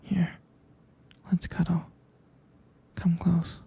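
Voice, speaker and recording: A man acts out a tender, possessive boyfriend in a whispered ASMR roleplay. His voice is very husky and breathy, crackling with heavy vocal fry. He speaks slowly and haltingly, as if close to his partner. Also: high-pitched, completely flat delivery, warm tone, exaggerated voice. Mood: reassuring, possessive. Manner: slow, halting, intimate.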